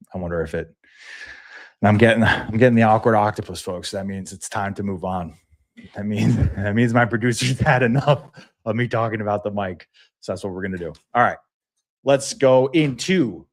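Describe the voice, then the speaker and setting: A lowish male voice from a morning sports show, the guy at the desk riffing casually on last night's scores for viewers at home. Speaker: sports talk show host. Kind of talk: casual live sports commentary to an audience.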